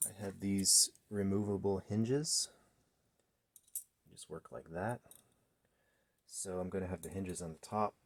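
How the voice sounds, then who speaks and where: A man's voice: deep voice, steady tone, a little slow, gravelly, pauses often. A man in his thirties casually narrating a DIY build in a garage workshop, thinking aloud informally as he works. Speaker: DIY maker, host. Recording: noisy workshop recording with clicks.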